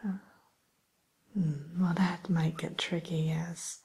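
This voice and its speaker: A woman softly whispering an ASMR roleplay as a polite, hesitant matchmaker who soothes and defers to her client. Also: fairly low pitch, level tone, slow pace, exaggerated voice. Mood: hushed, tactful. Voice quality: breathy, mostly whispered. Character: polite, accommodating female matchmaker at a dating service for villains.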